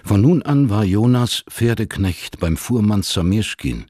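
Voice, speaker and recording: An actor as a solemn storyteller, narrating a literary radio drama in measured phrases. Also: male voice, on the low side, lively intonation, mellow, dry recording, clean studio sound. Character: sombre storyteller of a family tale.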